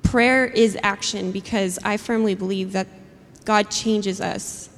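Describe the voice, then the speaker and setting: A woman speaks an earnest faith reflection, pausing and restarting as she searches for words. Her voice carries a lot of vocal fry. The church hall around her adds a long, lingering reverb. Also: mid-range voice, fairly even intonation. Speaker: church member sharing a reflection.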